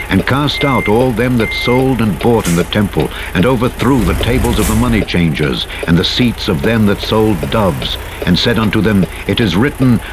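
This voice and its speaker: Clear vehicle engine noise runs behind a man with a fairly low voice. He reads scripture as a narrator, in a solemn and measured way, with archaic, formal phrasing. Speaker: Bible narrator. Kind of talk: scripture reading. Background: truck engine noise from a driving game.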